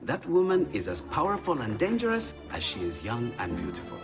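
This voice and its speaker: A man's voice: medium pitch, huge pitch swings, brisk, over music. A man playing a modest, friendly Italian Renaissance companion with an Italian accent in a video game. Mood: cautioning.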